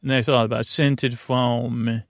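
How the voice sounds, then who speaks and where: Man, creaky gravelly voice, podcast host, lulling bedtime ramble, halting and meandering, dry close sound. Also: mid-range voice, flat delivery, no room sound. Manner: meandering, halting, lulling delivery with frequent digressions.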